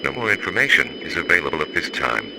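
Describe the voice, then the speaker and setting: Deep-voiced male emergency announcer, noisy broadcast. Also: very animated, quick pace, gravelly. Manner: stern, official, impersonal. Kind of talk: formal scripted public health warning.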